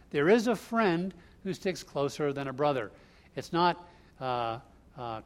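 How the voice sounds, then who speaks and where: Higher-pitched man preaching a sermon. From US Northeast. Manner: conversational, explains line by line. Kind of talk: expository sermon.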